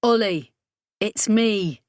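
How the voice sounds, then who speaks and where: A woman plays a young male human-alien hybrid in a science fiction audiobook. He is terse, wry and matter-of-fact, with unhurried delivery. The recording is dry. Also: mid-range voice, a little mellow, dry recording, lightly acted.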